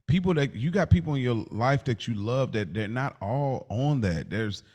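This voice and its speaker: An American man co-hosting a podcast, debating casually and probing with questions, often rephrasing in a halting way. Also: medium pitch, lively intonation, a little mellow. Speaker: podcast co-host.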